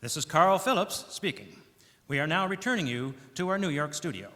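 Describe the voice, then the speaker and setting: Male actor as old-time radio reporter: high, bright voice, earnest and inquisitive, formal phrasing, reverberant hall. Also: animated, a little fast. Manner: formal announcer phrasing, pointed questions. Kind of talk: 1930s radio news acting. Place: church hall stage, live performance.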